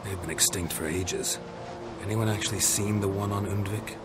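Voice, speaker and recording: An actor playing a terse, gruff monster hunter in a video game cutscene, speaking in short, flat sentences. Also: male voice, on the low side, normal speed, very noisy, close to an everyday voice.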